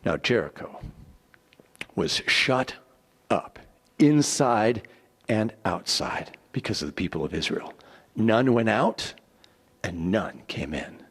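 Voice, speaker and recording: A man giving a dramatic scripted scripture narration, deliberate and theatrical, with weighty pauses. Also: lowish voice, big pitch swings, breathy edge. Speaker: scripture reader. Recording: echoey hall with long reverb.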